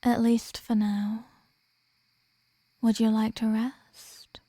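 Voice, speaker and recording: Woman playing a very slow, sultry vampire mistress. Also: a little high, little pitch movement, warm tone, dry recording. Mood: mock-tender, soothing. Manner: slow, teasing, commanding, breathy half-whispers. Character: sadistic, dominant female vampire, seductive and possessive. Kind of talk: ASMR roleplay.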